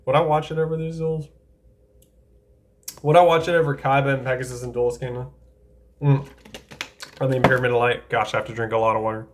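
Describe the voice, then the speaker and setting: A man's voice: medium pitch, flat delivery, a little fast, pauses often. A man giving casual, enthusiastic tier-list commentary, talking things through aloud and correcting himself as he goes. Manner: chatty, enthusiastic, thinks aloud with self-corrections. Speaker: anime tier-list creator.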